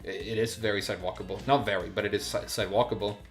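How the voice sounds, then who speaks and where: Low-voiced male streamer, halting and off-the-cuff. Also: steady tone, soft background music. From Sweden. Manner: halting, searching for words with repeated false starts. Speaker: live streamer. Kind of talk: casual unscripted livestream chat and game commentary.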